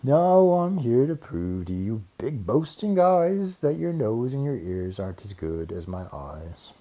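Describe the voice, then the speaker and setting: A man's voice: medium pitch, very animated, measured pace, dark tone. A man voicing a little old worm in a children's storybook, scolding and sure of himself. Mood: smug scolding.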